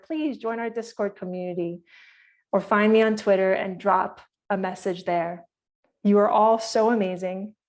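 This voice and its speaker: A woman from Hawaii presenting her project to an online community meeting, speaking earnestly and directly to her listeners. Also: mid-range voice.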